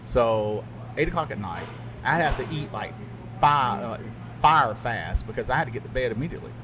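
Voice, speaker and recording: A man's voice: medium pitch, expressive, normal speed, background noise. A man hosting a casual podcast chat, telling stories candidly and emphatically, with plenty of restarts. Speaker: podcast host and radio broadcaster. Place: radio studio.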